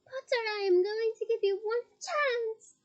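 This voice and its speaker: A fanatical female witch villain from a storybook reading, her voice soaring very high and loud. She shrieks and sobs in frantic desperation, played by a woman reading aloud. The tone stays tearful and wild. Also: expressive, moderate pace, dull audio. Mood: threatening.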